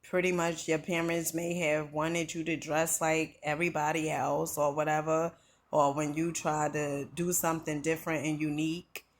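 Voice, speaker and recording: A woman's voice: deep voice, little pitch movement, normal speed. A middle-aged woman from New Jersey giving an earnest motivational talk, conversational and full of "you know" and "right?".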